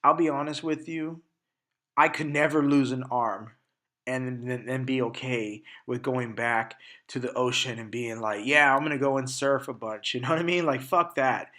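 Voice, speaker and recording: A man's voice: medium pitch, moderate pace, sharp tone. A young man hosting a solo podcast, talking candidly with frequent profanity and restarts, congested and sniffling from allergies. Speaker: podcast host. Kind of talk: casual solo podcast monologue.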